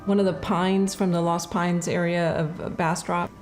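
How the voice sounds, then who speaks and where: A middle-aged woman, an artist, talking in a casual interview, reflective and halting with many fillers and restarts. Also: lowish voice, flat delivery, music in the background.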